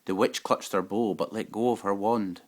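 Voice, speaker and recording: A man giving an expressive, dramatic reading of a rhyming children's picture book. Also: fairly low pitch, moderate pace. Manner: dramatic, rhythmic rhyming delivery. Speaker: storybook reader.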